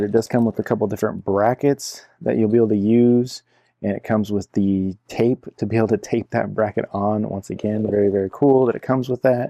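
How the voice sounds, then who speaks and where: Guy doing a casual product review, gravelly voice, dry close sound, explains stuff informally. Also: lowish voice, warm tone, no room sound. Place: indoor room at a cluttered computer desk.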